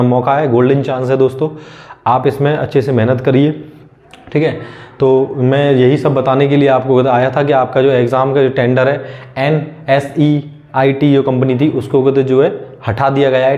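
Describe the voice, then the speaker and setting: A man's voice: mid-range voice, warm tone. A young man gives informal, unscripted advice to students, often repeating a filler phrase and restating his points. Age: young adult. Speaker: exam news YouTuber. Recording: dull lo-fi microphone sound.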